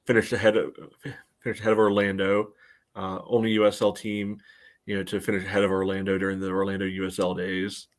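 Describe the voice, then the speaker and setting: Male podcast co-host and club historian, lower register, casual but considered, feels his way through each point. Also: completely flat delivery. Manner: halting, hedging, thoughtful. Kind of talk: casual sports podcast chat.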